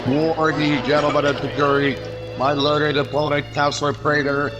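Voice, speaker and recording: A man's voice: fairly high pitch, level tone, normal speed, highs cut off, over loud music, natural voice. A man acting a stern male prosecutor in a 1940s style radio drama, speaking in an accusing, oratorical way. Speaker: actor.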